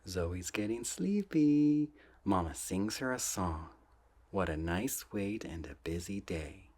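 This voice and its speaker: A soft-spoken man reading children's books aloud in a gentle, playful ASMR style, often dropping close to a whisper. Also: low voice, sing-song. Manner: gentle, drawn-out, playful storybook delivery.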